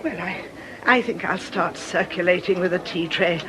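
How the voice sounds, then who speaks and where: A woman playing a shrewd, observant nun in a radio drama, brisk, wry and confiding. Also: on the low side, noisy background, close to an everyday voice. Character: shrewd, lively, observant nun, amateur sleuth. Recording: crowd bustle behind the voice.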